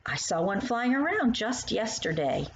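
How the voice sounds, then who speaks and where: A woman voicing a kindly grown woman in a storybook who gently explains and reassures as she talks to a child. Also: on the high side, very animated, a little slow, pitched above the performer's own voice. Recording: dull lo-fi sound with faint noise.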